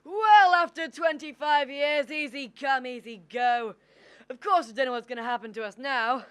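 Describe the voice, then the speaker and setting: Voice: high voice, moderate pace, fairly bright tone, clear, resonant tone, no echo. A young performer playing a loyal, folksy older uncle in a radio drama. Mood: resigned. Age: young adult. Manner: exclamatory, folksy. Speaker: student actor.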